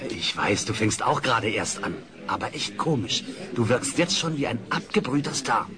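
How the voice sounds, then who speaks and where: An actor playing a timid, anxious, overweight novice comedian in an audio drama. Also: male voice, mid-range voice, sing-song, moderate pace, close to an everyday voice. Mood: nervous. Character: nervous fat sweaty novice male comedian. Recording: crowd and noise behind voice.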